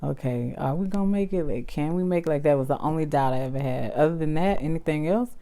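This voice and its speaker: A woman's voice: sits low, fast talker, warm tone. A woman in her forties with a Southern accent, chatting casually with her partner, full of fillers and bursts of laughter. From American South. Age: 40s. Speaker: vlogger talking with her partner. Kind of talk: casual couple chat.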